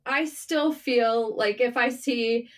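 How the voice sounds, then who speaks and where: A woman speaking as a writer guest in a casual, candid interview, informal and animated in manner. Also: a little high, slow pace. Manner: animated, informal, swearing, self-deprecating.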